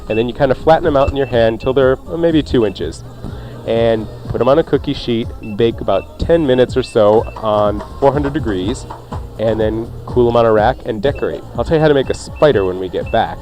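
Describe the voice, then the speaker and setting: A man's voice: medium pitch, a little fast, over music. A man hosting a radio cooking show, walking through recipes in a folksy, informal, conversational way. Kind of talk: casual conversational recipe instruction.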